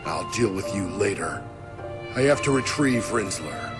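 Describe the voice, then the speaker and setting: A man's voice: on the low side, lively intonation, a little slow. A man playing a cold, calculating computer program villain in a video game, clipped, formal and menacing. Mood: scornful, menacing. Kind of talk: video game cutscene voice acting. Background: game music.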